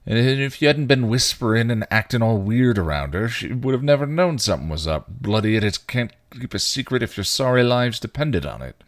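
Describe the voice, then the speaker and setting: A man voicing a bitter, guilt-ridden male vampire, rough and full of crude slang. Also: fairly low pitch, lively intonation, normal speed, no echo, studio quality, lightly acted. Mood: angry. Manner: snarling, rough, clipped slang. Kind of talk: fan fiction reading.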